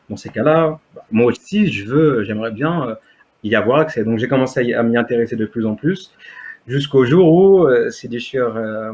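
Man, Berber native speaker, husky voice, hesitant, lots of fillers, muffled video-call audio. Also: mid-range voice, darkish tone. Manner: hesitant, many fillers and restarts. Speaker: interview guest, disciple. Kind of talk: testimony in conversation. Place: home room, indoors. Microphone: webcam on video call.

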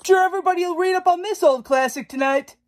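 A woman's voice: very high voice, fast, voice pushed up. A woman playing a quirky, cheery and oddly earnest hostess of a parody show about trinkets. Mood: cheerfully earnest. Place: indoors in a wood-paneled room.

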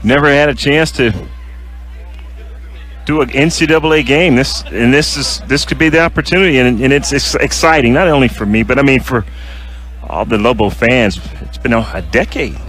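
A man working as a radio color analyst, breaking down a win with halting, earnest remarks and many restarts. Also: medium pitch, fairly bright tone, faint music behind.

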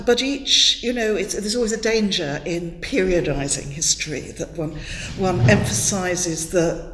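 A woman's voice: mid-range voice, a little hiss or hum. An older woman from Wales, a native Welsh speaker, giving an academic lecture in a classroom on a headset mic, thoughtful, with many fillers and restarts. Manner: thoughtful, many fillers and restarts, gestures a lot with both hands. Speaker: guest lecturer. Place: classroom in front of a chalkboard. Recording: echoey room with long reverb.

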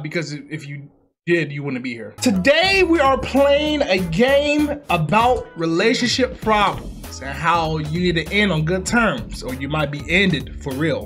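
A man's voice: high-pitched, fairly bright tone. A young American man with a slight speech impediment, casually rambling and teasing himself as he hosts a game playthrough. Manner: playful, rambling, self-teasing. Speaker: gaming video host. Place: indoor room with green screen.

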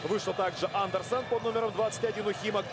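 A man calling a live basketball game play by play, brisk and lively. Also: sits high, background noise. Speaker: play-by-play commentator.

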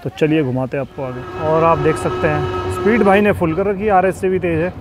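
A man's voice: a little high, steady tone, a little fast. A man in his thirties, a travel vlogger chatting casually to viewers while touring a zoo outdoors on a clip-on mic. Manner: chatty, addresses viewers directly. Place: outdoors at a city zoo and riding a motorbike. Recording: outdoor traffic noise behind.

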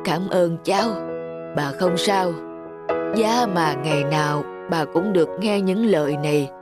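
A woman playing a kindly, lonely elderly grandmother in a cartoon, speaking in a gentle, sentimental manner. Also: lowish voice, unhurried, lightly acted. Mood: kind, comforting. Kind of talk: scripted cartoon dubbing. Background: background music.

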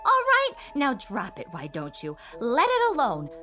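An actress playing a brassy, sharp-tongued crook's wife in a radio drama, slangy and needling. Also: female voice, fairly high pitch, huge pitch swings, moderate pace, soft background music, heavily acted. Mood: scornful, needling.

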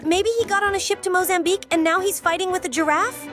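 The glum, fretting number Two. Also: female voice, very high-pitched, steady tone, music in the background. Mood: fretful. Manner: frets about disasters, slow and glum. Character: anxious number Two creature, gloomy worrier. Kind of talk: cartoon voice acting.

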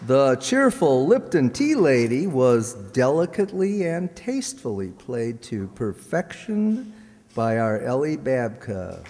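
A man's voice: medium pitch, sing-song, slow, a little mellow. A man reading out cast credits to an audience as announcer, with joking asides. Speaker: announcer of a community radio players' show. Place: large hall stage, indoors. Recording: echoey hall, audience noise.

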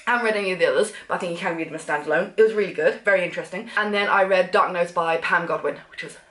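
Woman, thirties, casual vlog chat, quick talker, slightly congested, roomy echoey sound. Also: lowish voice. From England. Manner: chatty, animated, gestures while talking. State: blocked up with a cough. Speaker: vlogger. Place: sunroom with sofa, indoors.

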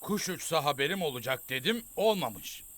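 A man's voice: fairly high pitch, expressive, normal speed, a bit bright, no room sound. An actor playing a stern, suspicious man who gives probing orders in a children's cartoon. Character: stern, suspicious elder brother figure giving orders. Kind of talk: animated children's series dubbing.

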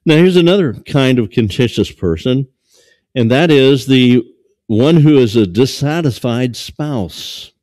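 An older man in his sixties preaches to a small church congregation from behind a lectern. His voice is dark and mellow. He talks haltingly, full of fillers and restarts, and the stand mic catches him dry and close. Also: mid-range voice, animated, no echo. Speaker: pastor. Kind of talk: sermon.

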